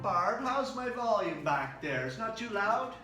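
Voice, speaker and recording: A man's voice: sits high, big pitch swings, a little slow. A man leading a live sing-along as a cheery, encouraging showman. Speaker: live musical entertainer. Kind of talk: live sing-along show patter and singing. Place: indoor room at a care facility. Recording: distant, echoey room with loud guitar music.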